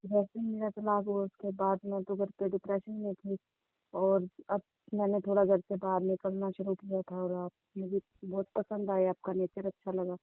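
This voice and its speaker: A woman's voice: medium pitch, monotone, moderate pace, dry recording, lightly acted. A woman playing an aggrieved, insistent young woman in a dramatized phone argument, complaining and repeating herself. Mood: hurt. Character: aggrieved, insistent young divorced woman confronting older lover. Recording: muffled, narrow tinny phone line.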